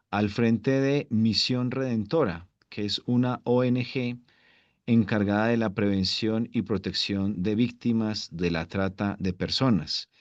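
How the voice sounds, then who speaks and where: A man hosting a formal online interview, polite and courteous as he introduces his guest and poses questions. His voice sits fairly low, and the audio is dry and dull, like a lo-fi call recording. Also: no echo. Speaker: interviewer, host.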